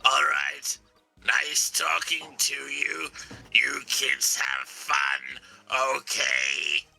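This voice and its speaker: Casting: male actor as smug, taunting game villain; very gravelly, unhurried, toying with the pursuers. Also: medium pitch, lively intonation, sharp tone, faint music behind, full character voice. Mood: smug teasing. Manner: teasing, drawling, mocking. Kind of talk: live video game voice-over.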